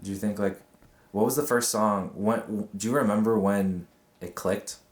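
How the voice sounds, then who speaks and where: Deep-voiced man asking easygoing questions. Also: moderate pace. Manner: relaxed, hesitant questions with many restarts. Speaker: interviewer. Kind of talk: casual interview. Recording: roomy with faint background noise.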